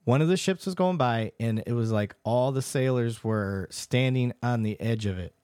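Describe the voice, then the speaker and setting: A man with a medium-pitched voice chats casually as an interviewer. He tells stories in an informal, conversational style, recorded close and dry with no room sound. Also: moderate pace, darkish tone, clear, resonant tone, no room sound. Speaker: interviewer, Air Force veteran. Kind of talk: casual chat.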